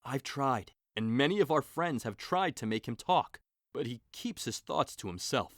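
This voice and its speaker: An actor playing a worried nobleman father in an audio drama, grave and measured as he speaks of his son. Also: medium pitch, lively intonation, fairly bright tone, no echo, studio quality.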